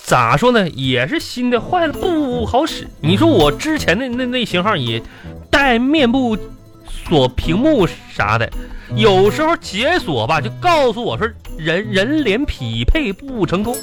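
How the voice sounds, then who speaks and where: Stammering, high-voiced Northeastern Chinese office suitor. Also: male voice, wildly swooping pitch, a little slow, heavily acted. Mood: boastful, rambling. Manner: stammering, rambling, repeats words. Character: awkward, broke, persistent male office suitor, short and boastful. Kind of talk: radio comedy sketch acting. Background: music.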